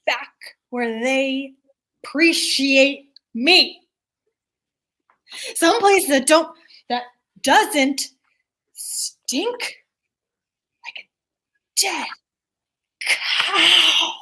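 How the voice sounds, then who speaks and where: High-pitched actress as tipsy female director, haughty, very slow, repetitive, comic play reading. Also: expressive, sharp tone, full character voice. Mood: grandiose, tipsy. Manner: slow, rambling, repeats herself.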